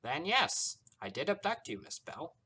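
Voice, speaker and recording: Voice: mid-range voice, very animated, a little slow, bright, forceful tone, slightly rough, voice pushed up, exaggerated voice. A man voicing a smug, mischievous male trickster from a story, theatrical and teasing with mocking sweetness. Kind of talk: character voice in narrated story.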